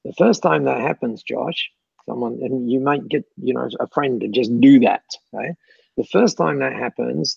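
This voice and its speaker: Gravelly man giving chatty advice. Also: medium pitch, no echo, lo-fi sound. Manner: chatty, repeats words, laughs often. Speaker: guest speaker. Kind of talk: mentoring Q&A on a call.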